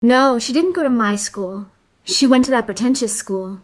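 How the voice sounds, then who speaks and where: Woman doing a sulky little girl in an audio drama, high voice, offhand and cagey. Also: moderate pace, no echo, lightly acted. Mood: defensive, sulky. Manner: defensive, offhand. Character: sullen, evasive young schoolgirl.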